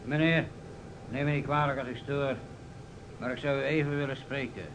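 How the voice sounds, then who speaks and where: A man's voice: medium pitch, fairly even intonation, normal speed, close to an everyday voice. A man acting a persistent, businesslike police inspector in a Dutch radio play, asking probing questions. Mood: formal, polite. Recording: old radio recording, faint noise.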